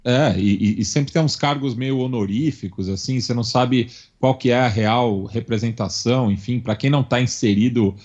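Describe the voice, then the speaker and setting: A man from São Paulo with a Paulistano accent, talking in a halting way full of fillers as a podcast co-host in a casual panel chat. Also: mid-range voice, a little mellow, lo-fi sound. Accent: Paulistano Brazilian Portuguese. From São Paulo, Brazil. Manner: halting, many fillers and restarts.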